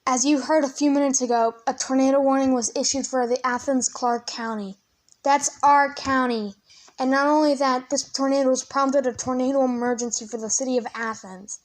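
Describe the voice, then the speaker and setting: A woman's voice: high voice, steady tone, normal speed, natural voice. A woman playing a radio news anchor in an amateur emergency drama, with an urgent announcer manner. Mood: grave.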